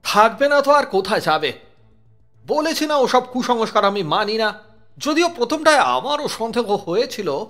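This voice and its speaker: An actor playing a pompous, sceptical British colonial police chief in an audio horror story. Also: male voice, high voice, big pitch swings, moderate pace, fairly bright tone, soft background music. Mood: dismissive. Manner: pompous, dismissive.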